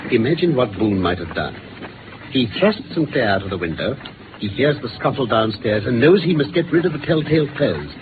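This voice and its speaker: A man with a British accent playing a brisk, incisive gentleman detective in a 1950s radio drama, articulate and theatrical as he lays out facts. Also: mid-range voice, natural voice. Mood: vivid, intent. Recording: noisy, phone-like old radio recording.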